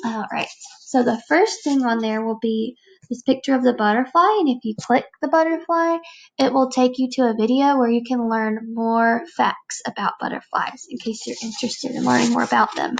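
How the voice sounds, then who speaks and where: A woman's voice: a little high, moderate pace, vocal fry, slight background noise, poor audio. A woman teacher speaking to young children in a read-aloud lesson, explaining things in simple phrases. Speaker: elementary school teacher.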